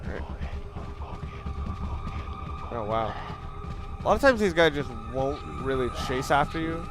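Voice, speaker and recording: A man giving casual, excitable let's play commentary on a video game, with casual swearing. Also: mid-range voice, moderate pace, music in the background. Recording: clearly noisy home recording.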